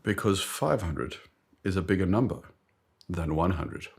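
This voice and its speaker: Smug British boardroom executive, played by a man, deliberate and emphatic, husky, comic sketch. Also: low voice, expressive, heavily acted. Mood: smug, confident.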